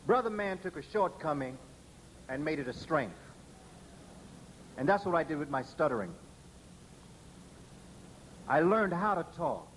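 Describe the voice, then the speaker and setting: A man giving a lecture to an audience, speaking deliberately with pauses and stressed words. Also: fairly high pitch, very animated, a bit bright, slight background noise, poor audio. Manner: spells words out letter by letter.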